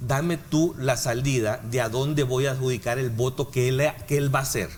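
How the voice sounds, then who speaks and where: A man's voice: medium pitch, fairly even intonation, moderate pace, a little hiss or hum. A man from El Salvador with a Salvadoran Spanish accent, arguing a legal point in a political discussion and walking through examples. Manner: argumentative, gives worked examples.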